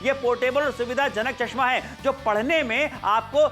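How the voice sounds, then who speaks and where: A man's voice: very high voice, moderate pace, a bit bright, soft background music. A man presenting a product on shopping TV, insistent and repeating his selling points. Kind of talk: home-shopping pitch.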